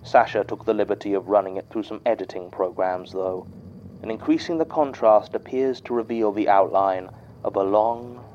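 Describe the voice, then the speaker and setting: An actor playing a dry, sceptical archivist who records case notes in a formal, measured audio drama monologue. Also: male voice, fairly low pitch, fairly even intonation, a little mellow, highs cut off, slight background noise, close to an everyday voice. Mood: dry, businesslike.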